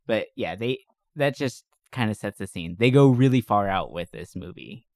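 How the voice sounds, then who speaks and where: A man hosting a podcast in casual chat, talking in an informal, joking, animated way. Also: fairly low pitch, moderate pace, noisy background. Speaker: podcast host. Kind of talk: casual chat about movies.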